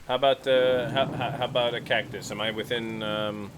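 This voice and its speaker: A man with a mid-pitched voice talks casually at the game table as a player. He thinks aloud about his moves, with faint noise behind him. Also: steady tone, normal speed.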